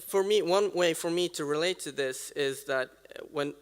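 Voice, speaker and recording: A man's voice: on the high side, normal speed, smooth. A man from Sweden, speaking from the audience in a large hall, hesitant and full of ums and uhs. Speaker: audience member. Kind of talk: audience comment at a talk. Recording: reverberant hall sound.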